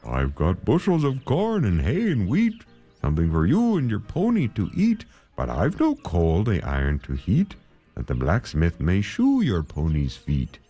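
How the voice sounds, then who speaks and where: A man's voice: sits low, wildly swooping pitch, measured pace, dark tone, breathy edge, soft background music, exaggerated voice. Man doing a matter-of-fact rhyming farmer. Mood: plain. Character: plainspoken farmer with a full wagon.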